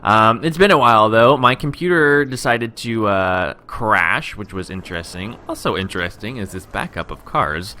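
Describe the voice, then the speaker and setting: A young man talks through a city-building game in a rambling, self-interrupting way. He has just woken up, so his voice sits lower than usual, yet it stays bright and crisp. His pitch swings widely as he chats. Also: expressive, normal speed, few pauses. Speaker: gaming video host. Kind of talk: casual let's-play commentary.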